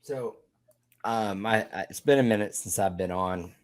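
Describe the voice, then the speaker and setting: A man's voice: lowish voice, moderate pace. A man joining a casual podcast chat, hesitant and given to stammering restarts.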